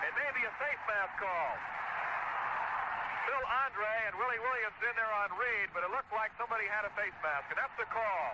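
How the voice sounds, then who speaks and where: A high-voiced American man does live football play-by-play in short, descriptive phrases. The recording is a noisy 1970s radio broadcast from a stadium.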